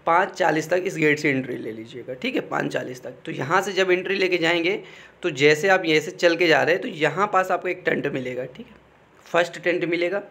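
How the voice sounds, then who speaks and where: Higher-pitched young man explaining informally. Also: a little fast, creaky, fairly bright tone. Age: young adult. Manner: conversational, repeatedly checks in with "okay". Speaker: exam guidance YouTuber.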